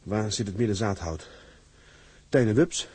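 A man's voice: lowish voice, a little slow, a little mellow, breathy edge, no echo. A man playing an anxious young sailor in a Dutch radio drama, speaking hesitantly and repeating himself. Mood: nervous muttering. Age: young adult. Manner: hesitant, stammering repetitions. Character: anxious young sailor studying for officer's exam.

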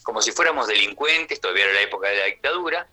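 Bright-voiced older Argentine man reminiscing. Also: fairly low pitch, animated, racing. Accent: Rioplatense Argentine. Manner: rambling, chatty, frequent question tags. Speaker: theatre actor and radio guest. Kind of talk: conversational radio reminiscence.

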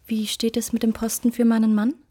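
A woman playing a seductive, scheming mistress in a radio drama, with a coaxing, theatrical delivery that often turns breathy. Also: a little high, monotone, brisk, mellow. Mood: cool, demanding. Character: seductive, scheming married mistress, flattering and calculating. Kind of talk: German radio play acting.

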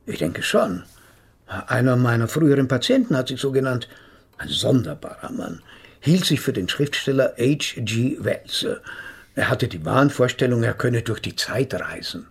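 An actor playing a male psychotherapist in a German radio drama, analytical, formal and nervously amused, with a husky, gravelly voice. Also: mid-range voice, very animated, moderate pace, heavily acted. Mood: sceptical. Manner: hesitant, formal, clinical phrasing.

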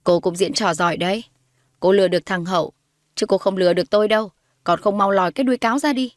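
A woman's voice: medium pitch, fast talker, dry recording, clean studio sound, lightly acted. Woman acting a cold, domineering rich mother. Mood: angry, accusing. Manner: sneering, accusing, haughty. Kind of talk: dramatized audio story.

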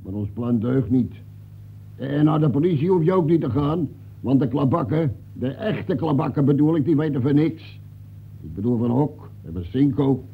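A bloodthirsty villain in an old radio drama, rough and cunning. His voice is creaky and husky, his talk slangy and mockingly polite, all heard through a narrow, phone-like recording. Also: medium pitch, expressive, moderate pace. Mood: urgent, persuasive. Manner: slangy underworld talk, insolent mock politeness. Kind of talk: radio play acting.

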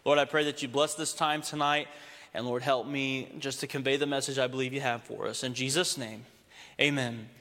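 A young adult man from Texas giving a casual, humorous sermon to a congregation, slowing down to read scripture. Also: mid-range voice, steady tone, a bit bright. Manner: conversational, humorous, slows down when reading scripture. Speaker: preacher. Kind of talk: sermon with storytelling. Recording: long hall reverb.

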